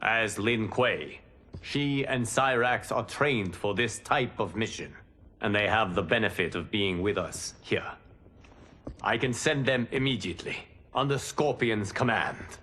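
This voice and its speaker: An actor playing a calm, wise guardian god in a video game, speaking formally with measured authority. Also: male voice, on the low side. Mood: firm, advising. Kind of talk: video game cutscene acting.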